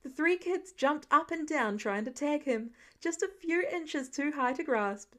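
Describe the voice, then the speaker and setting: A woman reading fiction aloud as an expressive, scripted story narration. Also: high voice, a little slow. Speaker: audiobook-style fan fiction reader.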